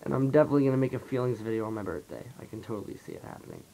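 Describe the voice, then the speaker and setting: A teenage man talking softly and rambling through a confessional vlog, his voice raspy from a dry throat. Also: medium pitch, expressive, rapid-fire, slight background noise, rough recording. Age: late teens. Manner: soft-spoken, rambling, heavy on fillers. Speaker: vlogger. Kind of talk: confessional casual monologue.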